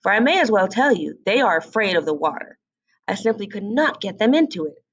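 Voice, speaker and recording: A woman's voice: medium pitch, sing-song, brisk, highs cut off. A woman voicing an opinionated old female duck in a storybook, gossipy, insistent and matter-of-fact.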